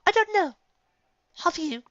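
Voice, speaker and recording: A refined lady unicorn, acted out by a story narrator, high and lilting, with prim mock politeness and cool, haughty wit. The sound is close and slightly dull. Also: expressive, measured pace, noisy background. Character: refined, haughty female unicorn, coolly witty. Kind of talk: fan fiction story character voice.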